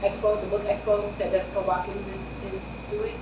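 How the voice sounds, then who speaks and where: Animated New York woman, roomy distant sound. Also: mid-range voice. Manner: animated, loud, quick bursts with laughter. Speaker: author guest. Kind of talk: casual interview chat. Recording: roomy, distant mic, faint hiss.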